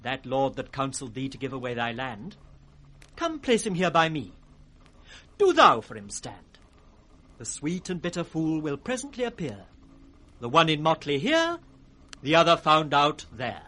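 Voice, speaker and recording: Lively, high-pitched mocking court fool. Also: male voice, moderate pace, pauses often, heavily acted. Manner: sing-song, riddling, impudent. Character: witty, mocking court fool, teasing the king. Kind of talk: 1960s British radio Shakespeare acting, with sung rhymes. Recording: vintage 1960s radio drama recording.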